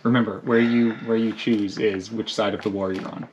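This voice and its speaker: A man's voice: fairly low pitch, flat delivery, a little fast, darkish tone, lo-fi sound, a little hiss or hum. Easygoing man chatting over a game. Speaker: friend at game night. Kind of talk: casual chat.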